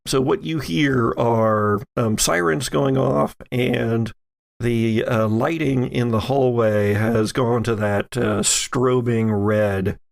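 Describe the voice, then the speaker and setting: A man narrating a tabletop role-playing game as game master, haltingly searching for words as he describes scenes. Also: mid-range voice, steady tone, gravelly.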